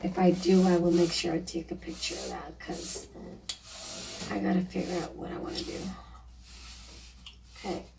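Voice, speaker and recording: A woman with a slightly hoarse, raspy voice, casually narrating a painting project in a chatty, apologetic way with lots of fillers. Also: fairly low pitch, huge pitch swings, moderate pace, long pauses, recorded from a distance, background noise. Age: adult, a mother. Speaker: DIY vlogger.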